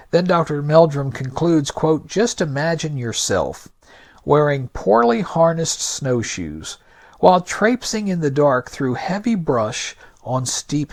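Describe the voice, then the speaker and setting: A man hosting a podcast with scripted narration, delivered in a deliberate, emphatic, argumentative manner. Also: a little high. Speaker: podcast host.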